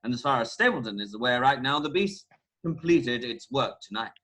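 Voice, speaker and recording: A man's voice: mid-range voice, lively intonation, brisk, sharp tone. A man playing a bossy, clever detective in a comic amateur radio play, with a grand, declamatory delivery. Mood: confiding.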